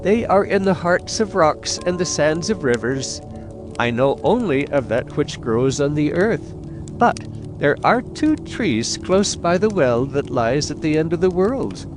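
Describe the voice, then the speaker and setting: A man's voice: on the high side, voice pushed up. A man voicing a magical talking cuckoo in a fairy tale, a wise and proud herald of spring with lofty, formal, old-fashioned speech.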